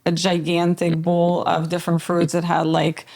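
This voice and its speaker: Low gravelly flat-toned woman from Albania. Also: normal speed. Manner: relaxed, rambling with frequent filler words. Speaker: podcast guest, fitness trainer. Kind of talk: casual conversational interview.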